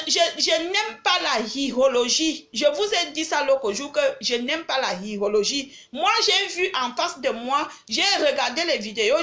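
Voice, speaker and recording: A woman's voice: sits high, a bit bright. An older woman from Cameroon with a cold, hosting a livestream and lecturing her followers emphatically. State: has a cold. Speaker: livestream host. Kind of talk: live video chat with followers.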